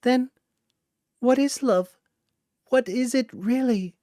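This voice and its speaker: A narrator putting on a high-pitched, childish, manipulative girl. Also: slow, dark tone, no echo, clean studio sound, natural voice. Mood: weak, confused. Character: childish, manipulative young girl fighter, shrieking and pleading.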